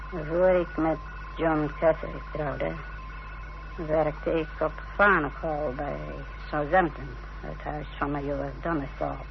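A proud middle-aged mother in an old radio play. She speaks slowly and haughtily, with theatrical deliberation and a creak in the voice. The recording is dull, with some background noise. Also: deep voice. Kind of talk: radio drama acting.

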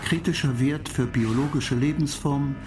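An actor in a radio play voicing an impassive spaceship computer that makes clipped, monotone technical announcements. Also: medium pitch, normal speed, over music, natural voice. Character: impassive spaceship computer reporting faults and countdowns.

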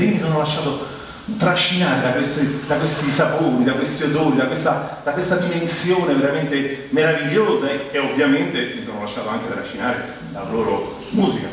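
A man from Rome with a Roman Italian accent, delivering a humorous theatrical monologue in a conversational, colloquial manner full of asides. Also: mid-range voice. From Rome, Italy. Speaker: stage monologist. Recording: very echoey hall, distant mic, music. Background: plucked guitar music.

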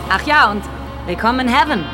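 A woman's voice: on the high side, expressive, natural voice. An actress playing a confident, direct woman producer who commands and teases, in radio drama dialogue. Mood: probing, teasing. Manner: brisk, direct. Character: brisk, confident female TV producer, teasing and commanding. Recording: loud music bed behind voice.

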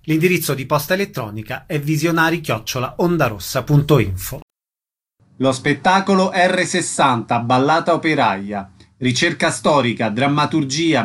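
A man hosting a weekly radio show, reading station announcements and show credits in a measured announcer's cadence from a studio. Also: fairly high pitch, fairly bright tone. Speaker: radio host.

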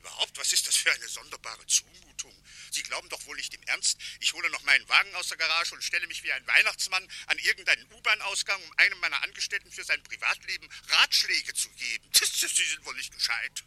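An actor playing a pompous, callous company director in a German radio drama, speaking in an indignant, officious and dismissive way. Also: male voice, fairly high pitch, animated, quick pace, few pauses, bright, forceful tone, full character voice.

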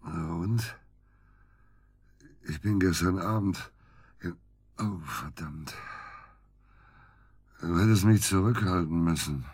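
A man acting a bitter, middle-aged husband in a naturalistic German radio drama, with a husky voice and a blunt, emphatic way of repeating himself. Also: slow pace, pauses often, a little mellow, heavily acted. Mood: hungover, irritable. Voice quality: husky low voice. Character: bitter, hard-drinking husband of middle age, crude and volatile.

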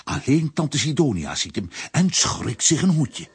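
A man's voice: on the high side, sing-song, measured pace, slightly rough, exaggerated voice. A man narrating a children's audio play as a storyteller, in a scripted style. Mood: storytelling.

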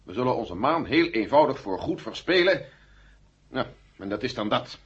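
Very husky sardonic general. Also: male voice, mid-range voice, big pitch swings, normal speed. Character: sceptical, sardonic army general. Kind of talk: 1970 Dutch radio play acting. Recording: dull old radio play recording.

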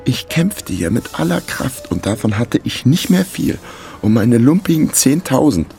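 A man's voice: medium pitch, very animated, measured pace, vocal fry, warm tone, faint music behind. An actor playing a wry, down-on-his-luck amateur sleuth who narrates a radio crime drama with dry sarcasm. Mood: indignant. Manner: dry, sardonic. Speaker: narrator and lead character.

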